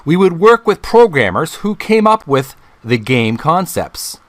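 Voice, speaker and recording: A middle-aged man hosting a channel, reading a book aloud in a deliberate, halting way, phrase by phrase. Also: a little high, sing-song, a bit bright, no echo. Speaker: video channel host.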